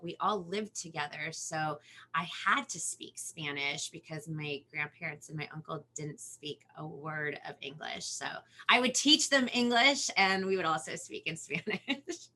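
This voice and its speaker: A woman's voice: deep voice, sharp tone. A young woman from Los Angeles with a Valley Girl accent, a bubbly guest who laughs often and says "like" a lot. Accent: Californian Valley Girl. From Los Angeles, California. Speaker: children's singer, guest. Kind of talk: casual interview chat.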